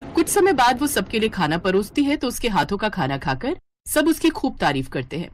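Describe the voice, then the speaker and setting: A woman's voice: medium pitch, big pitch swings, moderate pace, dry recording, clean studio sound. A woman narrating a scripted domestic story as a storyteller.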